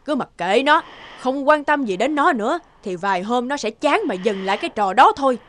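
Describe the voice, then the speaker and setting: A woman's voice: sits high, lively intonation, fairly quick, fairly bright tone. A woman voicing a scolding, dismissive old grandmother in a cartoon, grumbling as she talks. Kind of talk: cartoon voice acting.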